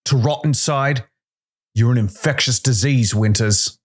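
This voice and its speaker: A man's voice: mid-range voice, unhurried, pitched above the performer's own voice, natural voice. A man voicing a bitter, vengeful spacefarer in a science fiction story, raging and accusatory. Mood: bitter rage. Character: bitter, vengeful, enraged ex-crewmate of a space bounty hunter.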